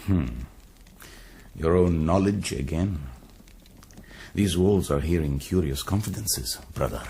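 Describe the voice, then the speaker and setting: Deep-voiced, proud young warrior. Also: male voice, dark tone, slight background noise. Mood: grave, confiding. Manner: measured, formal, earnest. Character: proud, courteous young Syrian-born male warrior. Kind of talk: radio drama acting.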